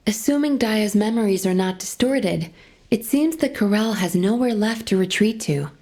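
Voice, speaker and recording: Military officer, medium pitch, composed, serious, formal, earnest, game cutscene dialogue. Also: female voice, expressive, normal speed, dark tone.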